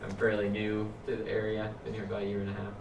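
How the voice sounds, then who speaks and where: Man, low voice, flat, relaxed, fillers, noisy far mic. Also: quick pace. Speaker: animal clinic worker. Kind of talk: self-introduction at a meeting. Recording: echoey room from afar, noise.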